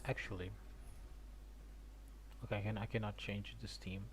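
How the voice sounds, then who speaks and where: A man's voice: fairly low pitch, little pitch movement, normal speed, a little hiss or hum. A man giving casual reaction commentary as he streams a game.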